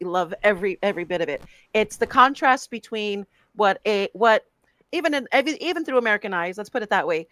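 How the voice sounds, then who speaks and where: A woman co-hosting a film podcast, chatting in an easy, mid-pitched voice. She talks animatedly, laughing often and tripping over restarts and fillers. Her close mic sounds dry, with no room around her. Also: fairly bright tone, no room sound. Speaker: podcast co-host. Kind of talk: casual podcast chat about films.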